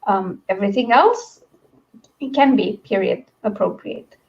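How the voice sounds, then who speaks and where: A woman's voice: mid-range voice, expressive, a little slow, dull audio. A woman hosting a livestream book discussion in a casual, rambling, chatty way. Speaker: host of a book read-along livestream.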